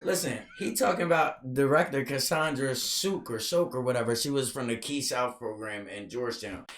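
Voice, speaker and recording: A man with a loud, raspy voice, a podcast guest talking bluntly, getting hyped and repeating himself in casual chat. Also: medium pitch, moderate pace, echoey room, a crowd in the background. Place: small studio room.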